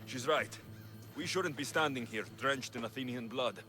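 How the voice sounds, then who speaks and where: An actor playing Alexios, a dry, steady mercenary in a game cutscene. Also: male voice, mid-range voice, normal speed, big-room sound, soft background music, close to an everyday voice. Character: Alexios, male Spartan-born mercenary, dry wit. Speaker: game protagonist. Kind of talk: video game cutscene acting.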